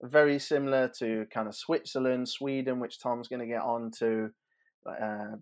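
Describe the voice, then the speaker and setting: A man's voice: mid-range voice, steady tone, normal speed. A man in his thirties chatting informally and a little hesitantly as a podcast host previewing sport. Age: 30s. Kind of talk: casual football preview chat.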